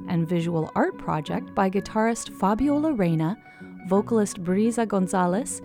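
A woman's voice: medium pitch, lively intonation, moderate pace, a little mellow. A woman hosting a podcast, delivering scripted narration. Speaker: podcast host. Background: music.

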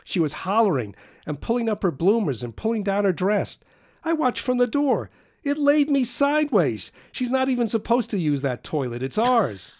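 Man doing a breathless, excitable young man; pitched well up, loud, quick bursts. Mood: excited. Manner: excited, rapid-fire exclaiming.

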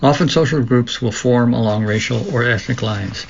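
A man with a gravelly, creaky voice reads prepared material as a radio host. His delivery is measured, with the odd stumble over a word, and the audio is slightly dull and muffled. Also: medium pitch, a little hiss or hum. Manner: measured read-aloud delivery with occasional stumbles. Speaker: radio host reading a book aloud. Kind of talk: scripted reading for a labor radio show.

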